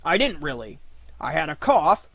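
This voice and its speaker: A man voicing a bouncy young tiger in a storybook, loud, emphatic and quick to protest. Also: a little high, very animated, breathy edge, no echo, heavily acted. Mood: defensive, cross.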